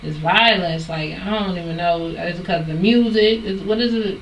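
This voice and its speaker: A woman's voice: fairly low pitch, moderate pace, far from the mic, dull audio. A woman hosting a radio interview in a chatty, casual way, laughing easily and filling her speech with "like". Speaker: radio host. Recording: echoey room, voice off-mic, faint hiss.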